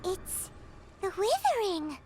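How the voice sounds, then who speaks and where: A woman voicing a tiny, excitable, naive fairy companion with a squeaky childlike voice in an anime game. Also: slow pace, over loud music, heavily acted. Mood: puzzled. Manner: speaks of self in third person, exclamatory.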